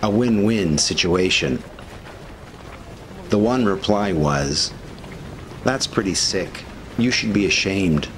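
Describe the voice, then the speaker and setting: A man reading scripted documentary narration with commentary in a measured, formal way. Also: lowish voice, slight background noise. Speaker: history video narrator.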